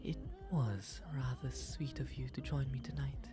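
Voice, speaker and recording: A man voicing a refined, gentle owl demon gentleman in a soft, breathy ASMR roleplay, speaking with courteous, eloquent phrasing. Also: medium pitch, music in the background, natural voice. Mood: warm, shy. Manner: genteel, eloquent, unhurried phrasing. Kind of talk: ASMR roleplay, intimate character acting.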